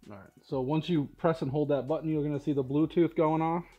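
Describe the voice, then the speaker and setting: A man's voice: fairly high pitch, steady tone, a little fast, dull audio, faint music behind. A middle-aged man giving a casual, matter-of-fact walkthrough in an informal way, recorded indoors in a workshop. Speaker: product demonstrator at a powersports tuning shop. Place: large indoor vehicle workshop.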